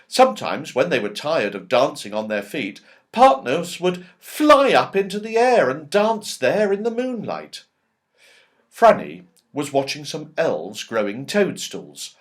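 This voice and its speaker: A man narrating a children's storybook as a reader, with expressive delivery, dramatic pauses and strong emphasis. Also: fairly high pitch. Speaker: audiobook reader.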